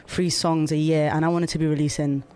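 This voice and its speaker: Easygoing young woman. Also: sits low. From Colombia, raised in London. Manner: easygoing, animated, quick restarts. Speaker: singer-songwriter guest. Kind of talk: casual interview chat.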